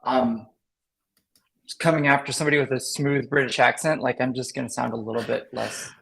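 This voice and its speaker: A man's voice: mid-range voice, steady tone, fairly quick, gravelly, a bit bright. An American man, a marketing educator and guest, chatting casually and leaning on "like" with frequent restarts. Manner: chatty, leans on "like", restarts words. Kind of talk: casual interview chat.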